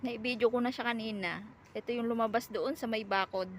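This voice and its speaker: A woman's voice: fairly high pitch, fairly even intonation, brisk. A woman with a Filipino accent chatting casually outdoors as she films a home video. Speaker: vlogger filming pets and wildlife at home. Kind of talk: casual chat to camera. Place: outdoors in a yard. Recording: clear background noise, outdoor ambience.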